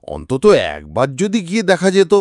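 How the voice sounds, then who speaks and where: Male detective, kindly and thoughtful, musing through clues, audio-story dialogue, acted by a male narrator. Animated pitch, dry sound. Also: moderate pace, no room sound. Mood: pensive, concerned.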